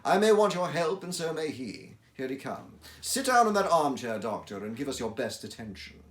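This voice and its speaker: A brisk, commanding detective, put on by a male narrator who speaks in clipped, emphatic phrases, lifted well above the reader's low natural register. His dry confidence is heard in an echoey room. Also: sing-song. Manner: crisp, emphatic, dryly confident.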